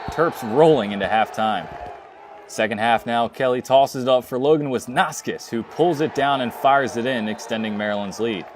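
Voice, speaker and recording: A young man working as a student sports reporter, narrating scripted highlights and field reports. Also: a little hiss or hum. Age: young adult.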